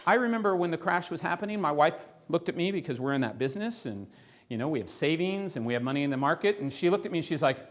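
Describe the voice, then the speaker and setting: A man presenting financial ideas to a live audience in a room, animated, often asking the listeners rhetorical questions. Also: on the high side, fast talker.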